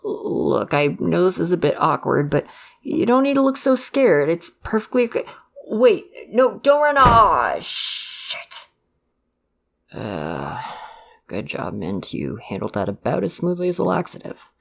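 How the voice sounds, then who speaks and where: A performer plays a caring dad with a mid-pitched, gravelly voice that often breaks into fry. He talks in a halting, stammering way, nervous and jokey yet devoted to his kid. It is a one-sided conversational ASMR roleplay. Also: big pitch swings, fairly bright tone, heavily acted. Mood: flustered.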